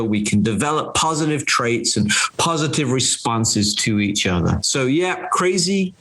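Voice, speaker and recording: A man's voice: medium pitch, a bit bright. A man co-hosting a podcast, earnest and reflective, joking easily with his guest. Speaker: podcast host.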